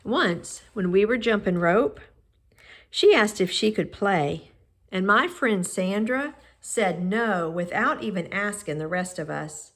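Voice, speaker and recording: A woman reading a children's picture book aloud in measured, expressive phrases with pauses. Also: medium pitch. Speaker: storybook reader.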